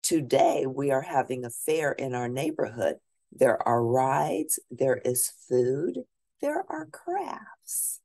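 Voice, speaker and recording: A woman with a very deep, low-set voice reads a picture book aloud to young listeners. She takes her time over each line, and her pitch rises and falls in a lively, animated way. The sound is close and dry, with no room echo. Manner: slow, deliberate read-aloud pacing with questions to listeners. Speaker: teacher.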